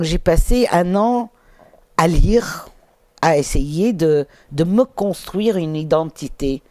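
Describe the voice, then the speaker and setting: Woman, first language Finnish, middle-aged, halting with fillers, warm mellow tone, unhurried. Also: low voice, no room sound. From England. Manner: hesitant, halting with many fillers. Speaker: interview guest, documentary filmmaker. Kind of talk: reflective interview storytelling.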